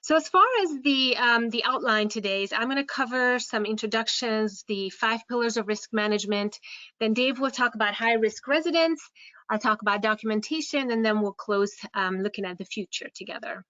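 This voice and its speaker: Bright-voiced Hungarian-accented woman presenter. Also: on the high side, moderate pace, dry recording. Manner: hesitant, many fillers and restarts. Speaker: presenter, care home administrator. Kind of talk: webinar presentation. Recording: dull, dry online call audio.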